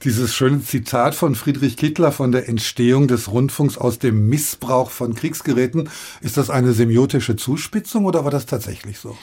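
A man's voice: medium pitch, normal speed, hardly any pauses, mellow, slightly rough, no room sound. A man presenting a radio talk programme from a studio, moving between scripted moderation and interview questions. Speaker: radio host.

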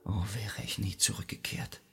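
An actor playing an anguished Greek hero in a theatrical radio drama, husky and gravelly, halting and declamatory between whispers and cries. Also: male voice, fairly low pitch, fairly even intonation, sharp tone, heavily acted. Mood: grieving, hushed.

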